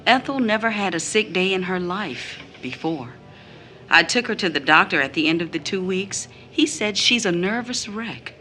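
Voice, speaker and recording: An actress playing a bitter, wounded mother in an audio drama, phrasing deliberately as she recounts her child's mistreatment. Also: medium pitch, expressive, bright, forceful tone, slight background noise. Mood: bitter, pained. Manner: deliberate phrasing, quotes others in mimicked tones.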